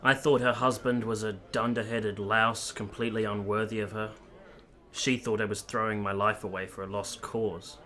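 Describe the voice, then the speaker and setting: A man's voice: on the low side, little pitch movement, moderate pace, bright, forceful tone. A man playing an earnest, devoted, principled army captain in a period radio drama, speaking formally and sincerely. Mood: wistful.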